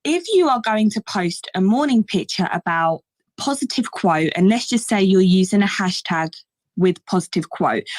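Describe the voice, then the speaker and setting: A woman with a slightly croaky voice on a video call, upbeat and chatty as she explains tips step by step. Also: medium pitch, a little mellow, no room sound. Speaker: guest speaker. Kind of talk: giving social media tips on a call.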